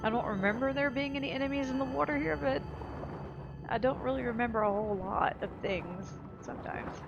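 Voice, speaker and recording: A woman's voice: sits high, brisk, vocal fry, muffled recording. A woman hosting a gaming channel, giving casual, playful let's-play commentary with a wry sense of humor. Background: game music and sounds.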